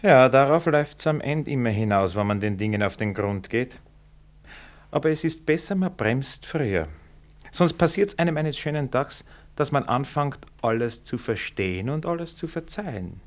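A man's voice: mid-range voice, expressive, normal speed, natural voice. Man acting wry, cynical official, swooping intonation. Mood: knowing. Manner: dry irony, knowing, worldly. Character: shrewd, wry, cynical male senior ministry official. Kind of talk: 1960s German radio drama acting.

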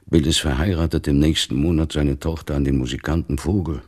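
An actor playing a devout, stern, weary older father in a literary radio play, speaking gravely with a gravelly, husky voice. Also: very deep voice, fairly even intonation, a little fast, a little mellow. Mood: resigned.

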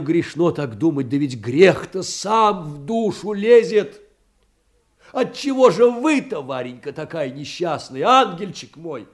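An actor playing a poor, meek, aggrieved middle-aged clerk in a theatrical audio drama, plaintive and tender with agitated outbursts. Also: male voice, on the high side. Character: poor, meek, aggrieved clerk pouring out his heart in letters.

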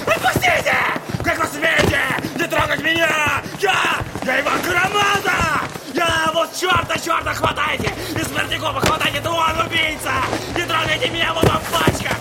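An actor playing a feverish young man raving in delirium, ranting and shouting in a theatrical audio drama. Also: very high-pitched, normal speed, few pauses. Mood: frenzied rage. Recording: loud music under the voice.

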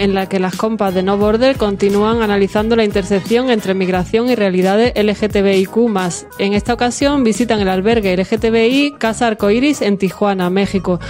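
A woman's voice: mid-range voice, a little mellow. A woman with an accent from Spain co-hosting a radio show, presenting its sections from a script. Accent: Spanish from Spain.

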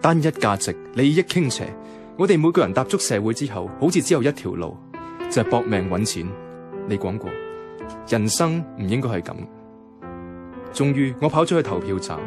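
A man's voice: medium pitch, close to an everyday voice. An actor with a Hong Kong Cantonese accent playing a wavering, lovelorn young man who narrates a radio drama in a colloquial, introspective way. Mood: reflective. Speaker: lead narrator.

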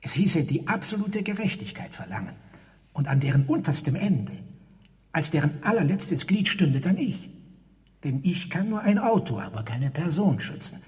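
An actor playing a fearful, self-abasing car park attendant who pleads in formal, stilted phrases, in a German radio drama. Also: male voice, mid-range voice, a little mellow, close to an everyday voice. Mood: solemnly theorising. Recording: long hall reverb.

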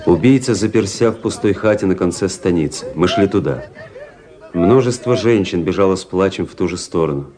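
Deep-voiced officer narrator played by a man. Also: natural voice. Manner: measured, literary, grave. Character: young male army officer recounting his tale, composed and daring. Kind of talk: dramatic scripted narration in a radio play. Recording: music behind the voice.

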